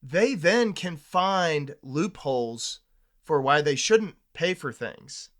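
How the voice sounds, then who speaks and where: A man's voice: fairly high pitch, lively intonation, takes it slowly, a bit bright. A man hosting a podcast, talking in a candid, opinionated and conversational way.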